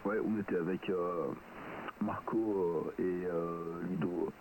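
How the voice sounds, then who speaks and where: A man's voice: deep voice, fairly even intonation, takes it slowly. A man chatting casually over amateur radio with a hesitant, rambling delivery. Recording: very noisy radio transmission.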